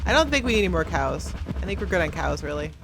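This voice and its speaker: A young woman hosting a video, talking loud and fast in casual chat as she answers viewer questions while playing a game. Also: deep voice, sing-song, noisy background. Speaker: YouTuber host.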